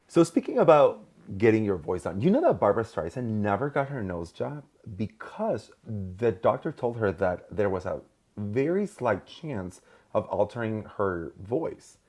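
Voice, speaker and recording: A man's voice: lowish voice, big pitch swings, measured pace, a little mellow. A man hosting a podcast interview, asking curious questions and laughing easily. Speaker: podcast host. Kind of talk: casual interview.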